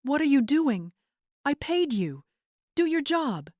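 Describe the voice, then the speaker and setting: An entitled young bride, acted out by a woman narrator, pitched high and sharp. She is imperious and demanding, snapping out orders in a dry, close storybook recording. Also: sing-song, slow pace, no echo, exaggerated voice. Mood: shrill fury. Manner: hissing, demanding.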